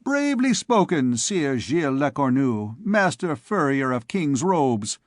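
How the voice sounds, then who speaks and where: A man's voice: medium pitch, animated, slow pace, no room sound, studio quality. A man voicing a merry, malicious little young student with a theatrical, mocking way of talking in a storybook reading. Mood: mock praise. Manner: theatrical, jeering.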